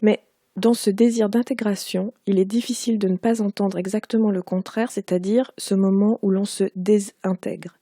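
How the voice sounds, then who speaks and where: Warm-voiced woman reading her prose. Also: medium pitch, steady tone, no room sound, clean studio sound. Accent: Parisian French. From Quebec. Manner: measured, unhurried literary reading. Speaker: author. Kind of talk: reading aloud from her own book.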